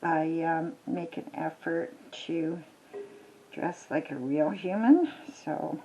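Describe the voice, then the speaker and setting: An older woman, retired, chatting casually with lots of ums while she works on a craft at home, her voice a little croaky. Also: sits low, slow pace, dark tone, highs cut off, slight background noise. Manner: rambling, chatty, many ums and restarts. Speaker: crafting vlogger. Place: small home.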